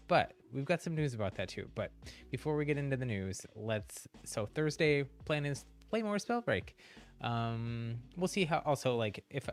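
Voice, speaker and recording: A man hosting a livestream, chatting casually to viewers in a rambling, giggly way. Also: medium pitch. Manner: rambling, frequent giggles mid-sentence. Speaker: live streamer and channel host.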